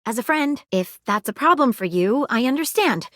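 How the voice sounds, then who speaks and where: A woman voicing an earnest, flirtatious female detective in a video game, with formal, theatrical, deliberate phrasing. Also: fairly high pitch, animated, fairly bright tone, dry recording, studio quality. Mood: earnest, gently firm. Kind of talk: scripted game character dialogue.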